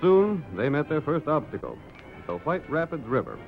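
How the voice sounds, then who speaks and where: A man's voice: fairly high pitch, huge pitch swings, normal speed, gravelly, soft background music. A man narrating a radio western as announcer, in dramatic scripted 1930s style. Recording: muffled, band-limited 1930s radio recording.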